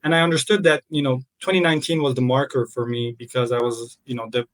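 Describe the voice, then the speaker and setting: A young man from Lebanon joins the podcast over a video call. He talks at an ordinary middle pitch, searching for his words with frequent fillers and restarts. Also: fairly even intonation, fast talker. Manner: hesitant, many fillers and restarts. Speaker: podcast guest. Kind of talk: casual interview.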